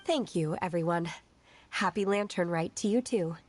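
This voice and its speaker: A woman's voice: on the low side, moderate pace, a little mellow, soft background music, natural voice. A woman playing a polite, reserved young disciple in a video game, speaking earnestly and formally.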